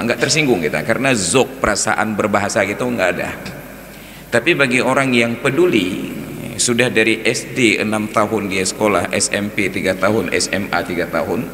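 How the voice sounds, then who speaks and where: Man, Acehnese accent, lowish pitch, informal religious talk, conversational, rhetorical questions, long hall reverb. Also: fairly bright tone. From Aceh, Indonesia. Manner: conversational, rhetorical questions, examples mixed with local dialect. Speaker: Islamic teacher giving a mosque study talk. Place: large reverberant mosque interior. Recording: echoey hall with background noise.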